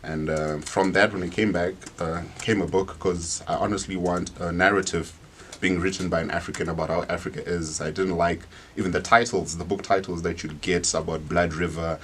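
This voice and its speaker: A young man with a deep, low voice speaks as a guest in a radio studio. He sounds relaxed and unhurried, with lots of fillers, and faint background noise sits behind him. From Malawi. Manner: relaxed, loose, with many fillers. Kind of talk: radio interview.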